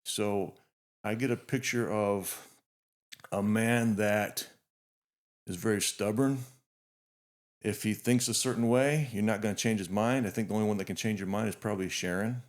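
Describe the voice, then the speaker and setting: A man's voice: lowish voice, steady tone, normal speed. A fifty-year-old man hosting a podcast, talking earnestly and reflectively to his guest. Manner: earnest, reflective, frequent restarts. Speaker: podcast host. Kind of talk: heartfelt interview introduction.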